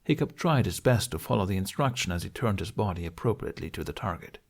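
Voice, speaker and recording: Animated male audiobook narrator, lowish voice. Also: fast talker. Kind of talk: expressive scripted fiction narration.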